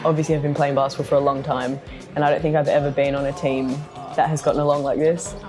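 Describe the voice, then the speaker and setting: A woman's voice: deep voice, flat delivery, fast talker. A young woman with an Australian accent, a basketball player talking casually about herself in an interview. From born in London, raised in Singapore and Australia. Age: young adult. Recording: music behind the voice. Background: music.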